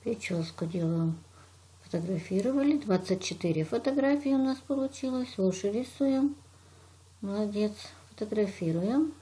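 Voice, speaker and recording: A woman's voice: medium pitch, expressive, normal speed, dark tone. A woman teacher from Russia walks a child through a drawing lesson step by step, patiently praising and narrating each action.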